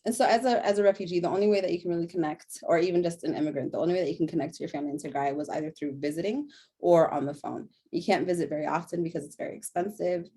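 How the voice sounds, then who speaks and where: A woman's voice: on the low side, racing, dark tone, husky. A young woman with an American accent, reading a prepared panel talk in an earnest way and now and then correcting herself. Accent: General American. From born in a refugee camp in Sudan, raised in Denver. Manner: earnest, self-correcting, occasional laughs.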